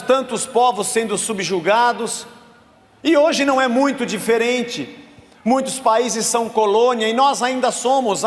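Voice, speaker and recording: Brazilian male pastor, casual slangy sermon delivery, higher-pitched, long church reverb. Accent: Brazilian Portuguese. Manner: conversational preaching with slang.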